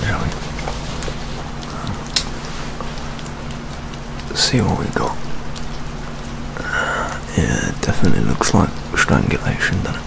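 A young man playing a jaded, world-weary British police detective in an ASMR roleplay, speaking in a hushed, deliberate manner. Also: low-pitched, creaky, exaggerated voice. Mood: wry, weary. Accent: British English. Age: 20s. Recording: steady rain ambience noise. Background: rain sounds.

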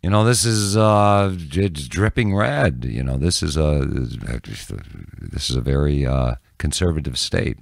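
An older man, a local news anchor, chatting casually as an interview guest in animated, halting bursts at a close studio mic. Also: rumbling low, creaky, no echo. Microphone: close studio podcast mic.

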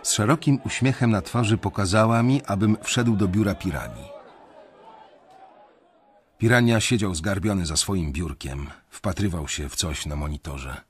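An actor playing an anxious young banker who narrates his own story in a confiding, measured, dramatic way in an audio drama. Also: low-pitched, a faint crowd, a little hiss or hum, natural voice. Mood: reflective, uneasy. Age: young adult.

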